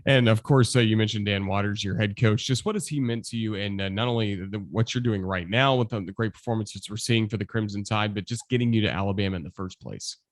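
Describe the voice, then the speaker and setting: Fast-talking low-voiced host. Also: male voice, no echo, clean studio sound. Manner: smooth, long scripted questions. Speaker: sports radio host. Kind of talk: radio interview.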